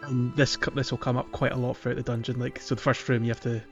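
A man's voice: mid-range voice, flat delivery, quick pace. A man hosting a podcast, casually discussing a video game in a hesitant, rambling way as he thinks aloud. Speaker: podcast host. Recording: slightly dull audio with music underneath. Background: background music.

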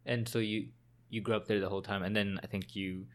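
A man hosts a casual podcast interview, asking questions in a relaxed, easygoing way. His voice sits a little low. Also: brisk. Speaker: podcast host.

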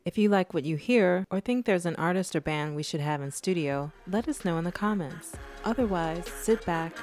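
A woman reads the show's scripted intro in a calm, measured way. Her voice is somewhat low for a woman, and faint music sits behind it. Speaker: show announcer.

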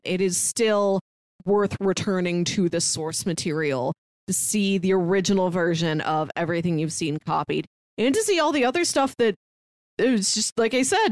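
A woman with a mid-range voice chats casually about movies as a podcast co-host. She is measured and reflective, and the sound is dry with very little room in it. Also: mid-range voice, no room sound. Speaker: podcast co-host discussing films. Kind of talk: casual conversational film discussion.

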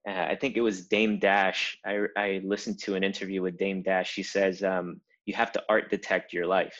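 A very deep-voiced man in his thirties, born in Cuba, speaks as a guest in a relaxed interview. He tells his story earnestly, often restarting phrases as he builds his points. The sound is a little dull and lo-fi. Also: normal speed. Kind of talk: casual interview.

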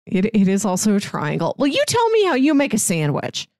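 A woman co-hosting a casual chat show, playfully arguing and explaining her reasoning in relaxed banter. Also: mid-range voice, a little fast, no echo, clean studio sound. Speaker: podcast co-host.

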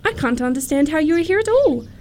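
A woman's voice: sits high, animated, fast, darkish tone. A woman playing a prim, candid young girl of good family, earnest and politely teasing, in a theatrical radio comedy.

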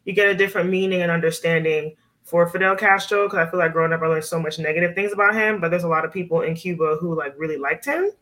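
A woman's voice: lowish voice, quick pace, few pauses, fairly bright tone. A woman in her thirties from Miami, a nurse practitioner chatting casually as a podcast guest and using lots of "like" and "you know". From Miami, USA. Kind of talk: casual interview chat.

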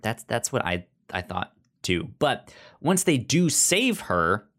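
A man co-hosting a casual review discussion, talking haltingly and often repeating words as he works through his thoughts. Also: mid-range voice, big pitch swings, fairly bright tone. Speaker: podcast co-host.

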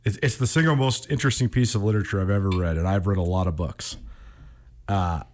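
A man hosting a radio talk show in a reflective, conversational way, musing philosophically and somewhat haltingly. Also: low-pitched, darkish tone.

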